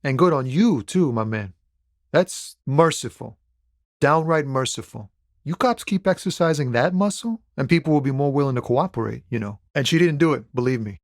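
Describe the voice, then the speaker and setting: Casting: a man to play a game's laid-back lorry driver. Medium pitch, casual and earnest, streetwise, halting when pressed to betray a friend; soulful, loyal. Dry recording. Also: lively intonation, no room sound, natural voice. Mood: relieved, mellow. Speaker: video game voice actor. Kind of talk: conversational video game character dialogue.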